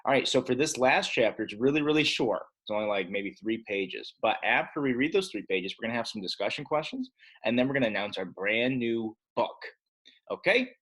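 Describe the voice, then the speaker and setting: A man's voice: mid-range voice, sing-song, brisk, fairly bright tone, slightly rough, poor audio. A man teaching young children in a casual read-aloud style, chatty and speaking directly to his pupils. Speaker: elementary school teacher.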